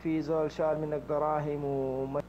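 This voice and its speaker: A man's voice: on the high side, level tone, fairly quick, mellow, lo-fi sound, slight background noise. A man from Sudan with a Sudanese Arabic accent, talking earnestly and repeating himself in a voice message. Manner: earnest, repetitive. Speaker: prank victim. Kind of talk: casual voice message.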